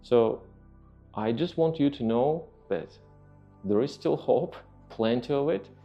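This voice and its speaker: A man with a Russian accent, a native Russian speaker, explaining training in a halting, emphatic podcast monologue as a fitness trainer and host. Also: medium pitch, expressive. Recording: muffled lo-fi voice, faint music behind.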